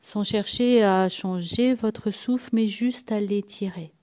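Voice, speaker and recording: A woman leading a guided yoga nidra relaxation in a soft, sometimes breathy voice, soothing and unhurried, leaving long pauses between short phrases. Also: mid-range voice, fairly even intonation. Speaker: guided meditation and sophrology practitioner.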